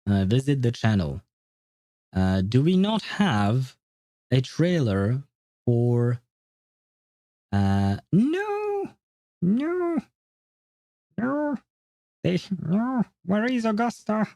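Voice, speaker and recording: A man's voice: fairly high pitch, huge pitch swings, drawn-out words, vocal fry, long pauses, mellow, no room sound, studio quality. A man chatting casually as a game livestream host, rambling and opinionated. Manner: rambling, opinionated, often chuckling. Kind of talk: casual gaming livestream chat.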